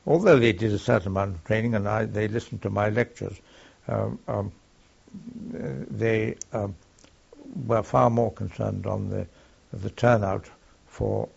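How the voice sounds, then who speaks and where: An older man with a gravelly, husky voice, recalling his wartime service haltingly, with many pauses and fillers. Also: on the low side. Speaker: war veteran recounting service. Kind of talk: oral history recollection. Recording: dull lo-fi archive tape.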